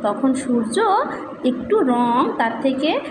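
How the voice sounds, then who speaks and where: A woman's voice: high voice, sing-song, slow pace. A woman telling a children's story interactively, coaxing a small child with repeated phrases and questions. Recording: echoey reverberant room with background noise.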